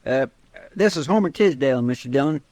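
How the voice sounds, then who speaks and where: An actor playing an earnest, deferential frontier deputy with an in a radio western. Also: male voice, mid-range voice, animated, fairly quick, a little mellow, no echo, close to an everyday voice. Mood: earnest, urgent. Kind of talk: 1950s radio western acting.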